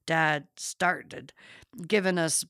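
An excitable old farmer , as a woman audiobook reader puts him on, brags and reminisces. He talks in rustic, folksy phrases with slow, emphatic stresses. The sound is close and dry. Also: low voice, smooth, no echo, heavily acted. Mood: fond, animated. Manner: folksy rustic dialect, emphatic drawn-out boasting. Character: boastful, excitable old rural farmer reminiscing about logging.

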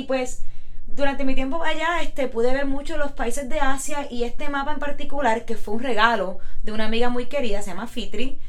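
A woman, co-hosting a podcast in an enthusiastic, casual chat. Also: fairly high pitch. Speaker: podcast co-host.

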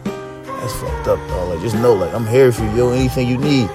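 A man hosting a podcast in casual chat, with a slightly raspy voice and loose, profane, joking rants. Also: fairly quick, music in the background. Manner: profane, joking rants with big pitch swings. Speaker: podcast host.

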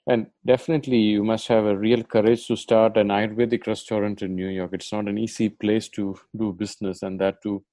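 A man hosts a podcast interview, speaking in a mellow, warm voice. His phrasing is loose and conversational, and the recording is dry and close. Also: lowish voice, little pitch movement, brisk, hardly any pauses, dry recording. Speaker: podcast host.

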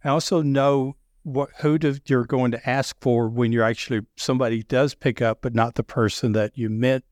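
A middle-aged man with a deep Southern accent, talking loudly and telling sales stories with many restarts. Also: moderate pace, no room sound. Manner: loud, animated storyteller with frequent restarts. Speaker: sales podcast guest. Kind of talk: casual conversation.

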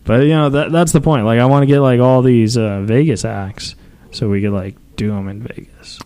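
A man hosting a casual live podcast, talking as a comedian in a rambling, halting, self-deprecating way. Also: mid-range voice, steady tone, warm tone, clear, resonant tone. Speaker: podcast host and stand-up comedian.

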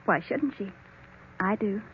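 A woman playing an earnest young niece and new bride, gentle and placating, in old radio drama style. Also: mid-range voice, a little slow, slightly rough. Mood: affectionate. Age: young adult. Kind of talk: 1940s radio drama acting. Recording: tinny, narrow old radio recording.

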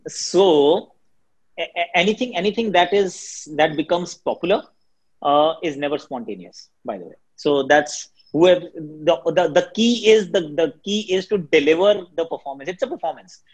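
A man from Delhi with an Indian accent, a cricket commentator whose first language is Hindi, answering interview questions in a shrill, quick, energetic way. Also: slightly rough, poor audio. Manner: fast, energetic talker.

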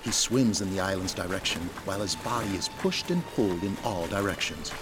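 A man's voice: low-pitched, a little fast. A man narrating an audio drama in a scripted, dramatic storytelling style. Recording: music behind the voice.

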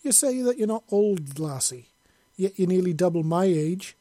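Man doing a Scottish accent, old soldier, profane, blustering, high-pitched, dry recording. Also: animated, normal speed, mellow, dry recording. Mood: defiant. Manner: blustering, swearing, defiant. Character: older Scottish male ex-soldier, brash and profane.

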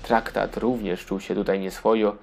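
A man's voice: on the low side, little pitch movement, normal speed, creaky, a little mellow. A man narrating a children's audio fairy tale in a scripted storybook style.

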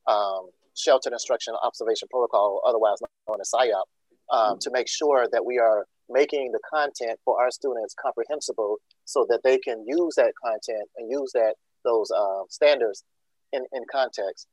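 A man from Georgia speaks as a school leader in an interview, explaining earnestly and filling pauses with ums and uhs. His voice sits mid-pitch for a man, and the recording is close and dry with no room sound. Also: a little mellow, no room sound, low-quality recording. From Georgia, USA. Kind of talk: interview answer.

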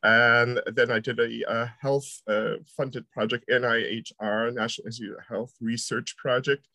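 A man's voice: mid-range voice, flat delivery, normal speed, creaky, sharp tone, no room sound. A middle-aged American man answering podcast interview questions, with many hesitant fillers and a friendly manner. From United States. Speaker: university researcher guest.

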